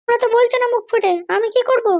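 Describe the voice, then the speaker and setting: A woman's voice: very high-pitched, fairly even intonation, very fast, dull audio. A woman voicing a haughty, threatening female political boss in a comedy cartoon, bossy and indignant with a childlike voice. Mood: bargaining, complaining. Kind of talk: Bengali comedy cartoon dialogue.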